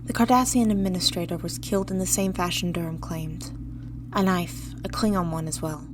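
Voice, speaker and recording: A woman's voice: on the low side, steady tone, a little fast, noisy background, close to an everyday voice. A woman playing a logical, composed starship doctor in a sci-fi radio drama, clinical and matter-of-fact. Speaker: voice actor.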